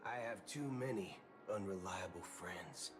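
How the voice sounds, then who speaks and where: An actor voicing a swaggering, wary space cowboy outlaw in a video game, with a theatrical, colloquial drawl. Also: male voice, low voice, a little slow. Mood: confident, sly. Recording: loud game music under voice.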